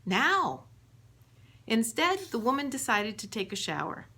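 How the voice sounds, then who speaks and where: A woman reading her own book aloud as scripted audiobook narration, in a measured storytelling manner. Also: a little high, sing-song, fairly bright tone.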